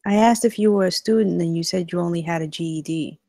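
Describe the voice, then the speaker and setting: Woman playing a mellow, caring flight attendant. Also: on the low side, fast talker, creaky, no room sound, studio quality. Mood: quietly probing. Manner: steadying, earnest, quietly persistent. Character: shaken but caring young female flight attendant. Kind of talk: acted table read of a film script.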